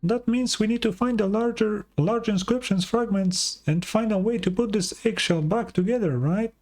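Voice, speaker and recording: A woman's voice: medium pitch, moderate pace, darkish tone, soft background music, lightly acted. A woman voicing a small, curious, chatty companion in a video game, chirpy and inquisitive.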